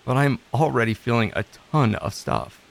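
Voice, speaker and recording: A man acting the part of a lonely, self-doubting recovery coach in an audio drama, halting and introspective. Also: medium pitch, lively intonation, creaky. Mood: subdued, receptive.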